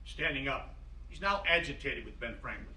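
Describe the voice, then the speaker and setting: A man's voice: medium pitch, animated, normal speed, pushed and bright. A man reading scripted stage directions as narrator at a staged play reading. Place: room at a live staged reading. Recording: distant, roomy, noisy live stage recording.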